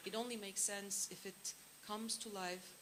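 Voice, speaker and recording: A woman from Turkey, a filmmaker and producer, speaking on a panel in a measured, thoughtful way. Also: medium pitch, fairly even intonation, noisy background. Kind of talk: panel talk.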